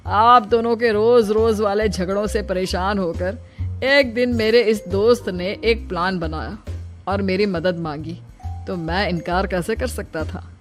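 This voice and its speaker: A woman's voice: mid-range voice, measured pace, soft background music, voice pushed down, lightly acted. A woman voicing a friendly young man who explains himself in a moral story. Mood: amused explaining. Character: friendly young man confessing his prank.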